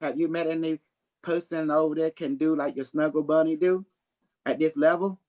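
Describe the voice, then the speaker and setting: Psychic livestream host, boastful and playful, mid-pitched, loose improvised chat in non-standard grammar. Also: steady tone, moderate pace, darkish tone, lo-fi sound.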